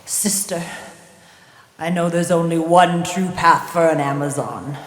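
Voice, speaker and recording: Actress as a slow, echoing Amazon warrior. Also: female voice, fairly low pitch, natural voice. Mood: solemn, mournful. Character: solemn Amazon warrior woman addressing her sister. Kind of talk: dramatic video game cutscene acting.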